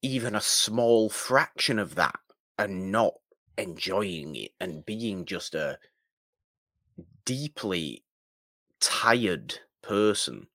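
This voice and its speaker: A man co-hosting a film podcast, chatting casually and haltingly, repeating and rephrasing his points for emphasis. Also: fairly low pitch, very animated, fairly bright tone, no room sound, studio quality.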